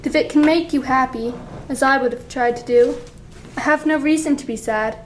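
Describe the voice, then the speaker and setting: A woman playing a sorrowful, earnest young fiancée in a radio play, with formal, deliberate delivery. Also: high-pitched, slight background noise, close to an everyday voice. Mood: resigned reproach. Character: sorrowful, principled young fiancée releasing her betrothed.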